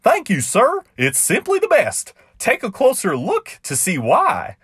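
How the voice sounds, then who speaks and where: A man voicing a small, tearful male clown outlaw in a very high, sputtering, pleading voice. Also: huge pitch swings, measured pace, a bit bright, slightly rough, no room sound, clean studio sound, heavily acted. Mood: sweet.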